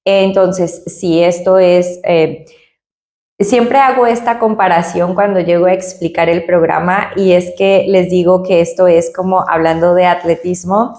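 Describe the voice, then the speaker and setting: A woman's voice: on the low side, steady tone, measured pace, darkish tone, reverberant. A woman, a company director, answering interview questions and explaining her points with analogies and frequent tag questions. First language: Spanish.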